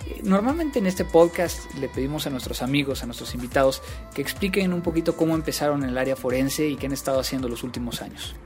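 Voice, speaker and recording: A man's voice: medium pitch, expressive, fast, a little mellow, soft background music. A man, hosting a podcast and talking casually, with frequent fillers and restarts. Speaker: podcast host.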